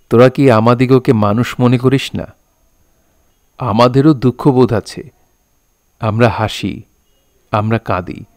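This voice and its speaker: A man's voice: medium pitch, a little mellow, no room sound, studio quality. A man playing a solemn, sensitive poet who narrates his own novel in a reflective, literary way. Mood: wounded, fervent. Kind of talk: dramatised literary storytelling.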